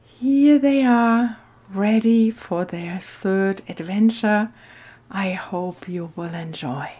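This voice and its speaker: A woman hosting a craft video, talking in a musing, unhurried storytelling way. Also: medium pitch, mellow. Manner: musing, hesitant, thinking aloud. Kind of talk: casual craft narration and slow storytelling.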